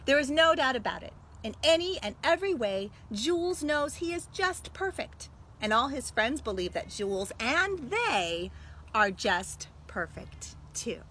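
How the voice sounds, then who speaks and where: An American woman, a school librarian, reading a picture book aloud to young children in an expressive way. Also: sits high, unhurried. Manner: animated, expressive delivery aimed at young children. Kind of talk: read-aloud storytelling for children. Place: at home. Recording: noisy home video with background sounds.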